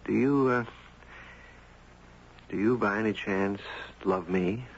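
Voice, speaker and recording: An actor playing a gentle, reflective man in a 1970s radio drama, speaking tenderly and musingly. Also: on the low side, takes it slowly, a little hiss or hum. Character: gentle, reflective man narrating his own tale, Ben. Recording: dull 1970s radio broadcast.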